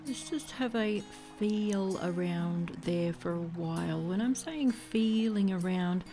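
A woman leading a guided body scan meditation in a soothing, gentle way, leaving pauses between phrases. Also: on the low side. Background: music.

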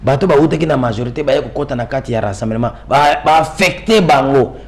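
A man's voice: mid-range voice, fairly quick, a little mellow. A middle-aged man with a Congolese accent in a televised political discussion, speaking emphatically and repeating phrases for stress. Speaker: political commentator guest. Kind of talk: televised political discussion in mixed Lingala and French.

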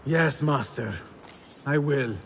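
An actor playing an earnest young assassin apprentice in a video game, respectful and formal. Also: male voice, medium pitch, slow pace, creaky, a little hiss or hum. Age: young adult. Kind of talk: dramatic video game acting.

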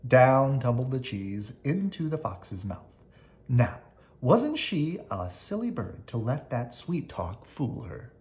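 A storybook reader giving an expressive read-aloud narration for children. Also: mid-range voice, takes it slowly.

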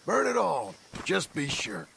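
Gravelly, sardonic cowboy outlaw. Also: male voice, a little high, huge pitch swings, unhurried, pushed and bright, slight background noise, full character voice, low-quality recording. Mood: sarcastic. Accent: American. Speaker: gunslinger protagonist in a western video game. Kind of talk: western video game acting.

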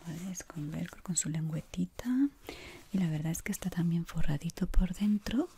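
Whispering Spanish woman, soft ASMR roleplay. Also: fairly low pitch, moderate pace. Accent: Spanish from Spain. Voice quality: breathy, mostly whispered. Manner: soft, gentle, soothing, many diminutives. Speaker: ASMR creator playing a shoe shop assistant. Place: small indoor room. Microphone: close microphone. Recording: close mic with clear background noise.

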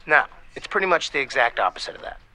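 An actor playing an easygoing, kind, joking baseball player in a naturalistic romantic comedy audio drama. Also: male voice, mid-range voice, sing-song, fast talker, pushed and bright. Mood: casual, teasing. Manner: casual, joking, frank.

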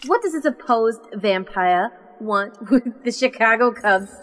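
An actress playing a highly emotional woman held captive, defiant and afraid, in an audio drama. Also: lively intonation, a little slow. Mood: mocking disbelief. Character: defiant, scornful female captive turning terrified. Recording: long reverb with music.

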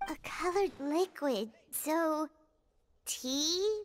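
A woman's voice: high-pitched, lively intonation, drawn-out words, a little hiss or hum, full character voice. A woman voicing a tiny, childlike fairy companion in a video game, eager and inquisitive. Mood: curious, eager. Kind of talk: scripted video game voice acting.

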